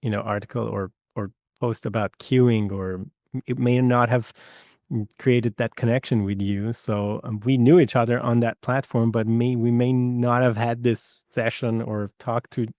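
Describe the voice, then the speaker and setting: Hesitant man from Iran, dark voice. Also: mid-range voice, fairly even intonation, normal speed, no echo, studio quality. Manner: hesitant, many fillers and restarts, warm laughs. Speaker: guest, Foley mixer. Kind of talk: casual interview conversation.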